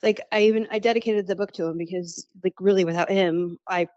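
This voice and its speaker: A woman's voice: mid-range voice, steady tone, fast talker, darkish tone, no echo, muffled recording. A woman author talking casually and gratefully as an interview guest.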